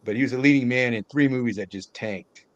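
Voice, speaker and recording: Man chatting casually, halting delivery. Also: medium pitch, lo-fi sound. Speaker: podcast panelist discussing films. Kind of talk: casual chat.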